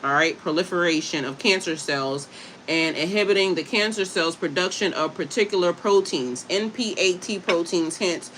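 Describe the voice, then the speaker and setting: A woman's voice: on the low side, moderate pace, fairly bright tone, a little hiss or hum, poor audio. A woman from Delaware hosting a casual livestream, chatting with viewers and reading aloud from a website, stumbling over hard words and spelling them out. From Delaware, USA. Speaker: livestream host and herbalist.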